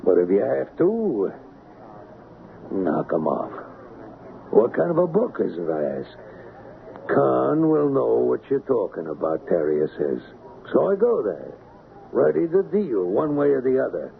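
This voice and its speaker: An actor playing a wary, bargaining small-time criminal with a gravelly voice and hesitant, slangy talk in an old radio drama. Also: male voice, medium pitch, huge pitch swings, unhurried, long pauses, heavily acted. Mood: cagey, bargaining. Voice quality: gravelly, creaky. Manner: hesitant, hedging, streetwise slang. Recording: tinny, band-limited, noisy old radio recording.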